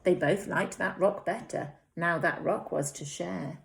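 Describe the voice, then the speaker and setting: A woman with an English accent, a teacher speaking and reading to young children in an expressive, dramatic storytelling way. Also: fairly low pitch, unhurried, gravelly. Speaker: infant school teacher. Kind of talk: reading a picture book aloud to young children.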